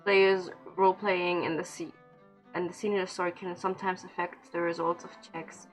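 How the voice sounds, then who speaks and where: A woman's voice: fairly low pitch, flat delivery, normal speed, poor audio. A woman doing casual, informal gameplay commentary on a livestream, with a self-deprecating streak. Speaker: game streamer. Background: game dialogue and music.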